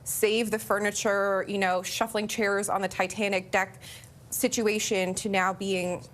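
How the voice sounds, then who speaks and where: A woman giving political commentary on a TV panel in a relaxed, conversational way. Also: mid-range voice, a little slow.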